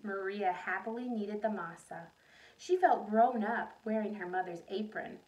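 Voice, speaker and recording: A woman reading a picture book aloud like a teacher, animated, pausing to put questions and asides to young listeners. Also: mid-range voice, highs cut off. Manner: animated storytelling, addresses young listeners directly. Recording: voice distant from the microphone.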